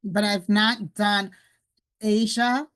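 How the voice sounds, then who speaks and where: A woman's voice: medium pitch, steady tone, takes it slowly. A woman hosting a podcast over a video call, asking curious open questions and adding her own remarks. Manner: curious, asks open questions, warm aside comments. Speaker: podcast host. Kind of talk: casual interview chat.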